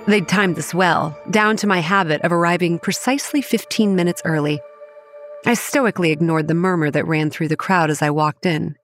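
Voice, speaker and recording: A woman acts out a proud young manager who narrates a horror audio drama. Her voice sits low, with soft music beneath it. She is stern and measured, with a combative edge. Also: fairly even intonation, close to an everyday voice. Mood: tense narration. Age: young adult. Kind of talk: first-person dramatic narration with dialogue.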